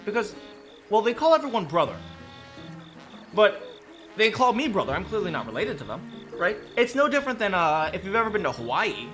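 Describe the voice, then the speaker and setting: A man's voice: high-pitched, big pitch swings, fairly quick, long pauses, fairly bright tone. A man chatting casually about game lore, rambling with many restarts and frequent tag questions. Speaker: streamer discussing game lore with chat. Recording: faint music behind.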